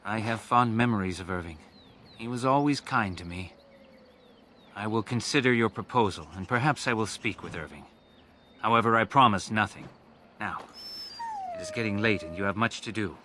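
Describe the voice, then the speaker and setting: Young elven man, reserved, gentle, measured, bright lowish voice, game cutscene, faint background noise. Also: expressive. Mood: calm, forgiving. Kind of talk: dramatic game cutscene acting.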